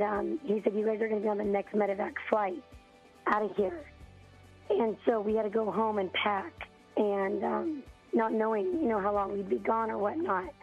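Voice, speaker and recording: A hoarse mother in her thirties calling in to a radio show, telling her story haltingly with many ums and throat-clearing. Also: medium pitch. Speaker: parent on a radio call. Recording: tinny phone line.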